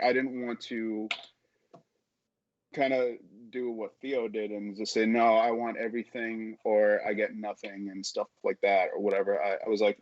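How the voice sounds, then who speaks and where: A man's voice: on the low side, dry recording, dull audio. A man talking casually and informally in an interview, rambling a little as a podcast guest. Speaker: podcast guest, audio drama creator.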